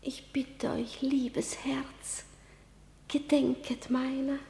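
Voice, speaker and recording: A grief-maddened young girl in a classical radio play. Her very high, fragile voice moves extremely slowly, slipping between lilting song, laughter, weeping and whispers. It all rings in a long hall reverb. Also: little pitch movement, vocal fry, mellow, full character voice. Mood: tender, dazed. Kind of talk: German classical radio drama acting.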